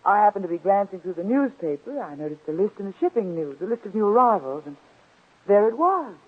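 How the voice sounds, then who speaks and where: A woman's voice: medium pitch, big pitch swings, fast talker, husky. An actress playing a poised, slyly probing young woman visitor, talking in a polite, genteel, conversational way in 1940s radio drama style. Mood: chatty, sly. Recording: tinny, muffled old-time radio recording.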